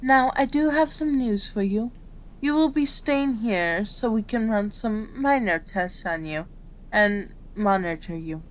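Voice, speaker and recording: Slow, gentle kitsune doctor played by a woman. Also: mid-range voice, lightly acted. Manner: soft-spoken, reassuring, addressing a patient directly. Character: gentle, caring female kitsune doctor, aging fox spirit. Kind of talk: ASMR roleplay voice acting.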